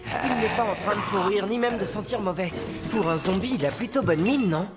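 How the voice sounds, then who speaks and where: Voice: mid-range voice, fairly quick, music in the background, close to an everyday voice. An actor voicing an earnest, plucky teenage boy hero in a cartoon, eager and decisive. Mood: urgent, determined. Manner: eager, reasoning aloud, decisive. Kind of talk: cartoon character voice acting.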